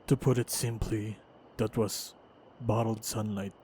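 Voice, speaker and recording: A man playing a stoic, wry and protective monster hunter in a fantasy action audio roleplay, speaking in terse, measured, understated lines. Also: on the low side, little pitch movement, darkish tone, slight background noise, lightly acted. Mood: guarded, calm.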